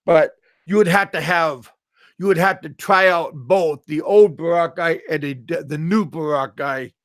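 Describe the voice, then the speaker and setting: A middle-aged American man chatting casually on a live stream, halting and repeating his words. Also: a little high, a bit bright, no room sound, clean studio sound. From USA. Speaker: livestream host.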